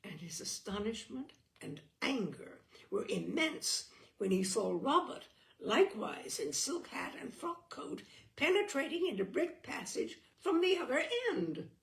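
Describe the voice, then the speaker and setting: Lady reading an old comic story out loud, very up and down, bit croaky, dramatic emphasis. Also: high-pitched, sing-song, unhurried. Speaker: storyteller, audiobook reader. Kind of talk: expressive literary narration of a comic short story.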